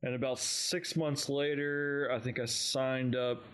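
A man's voice: mid-range voice, little pitch movement, a little slow, a bit bright, clean tone. A man co-hosting a fan podcast, chatting in a slangy, informal way. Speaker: podcast co-host.

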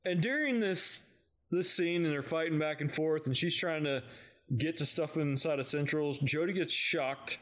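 A man's voice: on the high side, moderate pace, a bit bright. A man co-hosting a fan podcast, recapping in casual chat with an amused manner. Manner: amused, chuckles often while recapping. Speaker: podcast co-host.

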